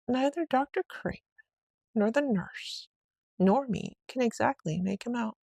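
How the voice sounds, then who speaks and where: A woman's voice: fairly high pitch, expressive, measured pace, gravelly, a little mellow, husky, no echo, clean studio sound, pitched above the performer's own voice, exaggerated voice. A woman voicing a flustered, deferential housekeeper in a storybook read-aloud, explaining hesitantly and respectfully. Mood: flustered, puzzled.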